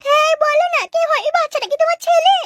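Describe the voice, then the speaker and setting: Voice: very high voice, fairly even intonation, rapid-fire, fairly bright tone, slight background noise. An actor voicing a bold young housewife, complaining and coy, in a comic cartoon dub. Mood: coy, teasing.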